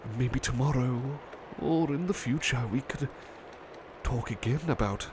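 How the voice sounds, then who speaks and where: Guy doing a gentle shy butler plushie for asmr, really slow n halting, polite, tender, noisy background. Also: mid-range voice, lightly acted. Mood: sleepy, affectionate. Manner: hesitant, polite, tender, halting with soft repetitions. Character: gentle, bashful butler turned into a cuddly plush doll. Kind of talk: ASMR roleplay, soothing personal attention.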